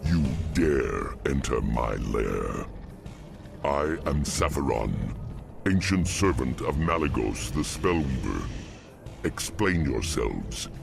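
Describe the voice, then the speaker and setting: Game creature role: imperious old wyrm, bottomless gravel voice, slow menace. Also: male voice, extremely low pitch, big pitch swings, full character voice. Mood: menacing. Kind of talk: video game cutscene acting. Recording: game audio under clear music.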